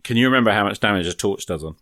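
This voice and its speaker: A man with a fairly low voice chats loosely through a tabletop game. He is good-humoured and thinks out loud, and the sound is close and dry. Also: lively intonation, very fast, dry recording. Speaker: tabletop role-playing game player and podcaster. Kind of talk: casual improvised game chat.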